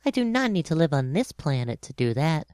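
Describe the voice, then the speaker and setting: A woman's voice: low voice, very animated, brisk, dark tone, clean tone, no echo, studio quality. A woman voicing a polite, curious young boy prince in a storybook dramatization, speaking in an earnest, childlike way. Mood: politely firm. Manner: earnest, childlike, questioning delivery.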